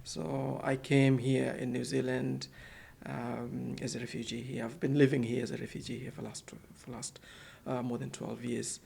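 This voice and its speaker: Gravelly-voiced man. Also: mid-range voice, level tone, moderate pace, hardly any pauses, a little mellow. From Pakistan. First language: Urdu. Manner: hesitant, many fillers and repeated words. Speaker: guest, center manager. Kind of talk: casual podcast interview. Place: office.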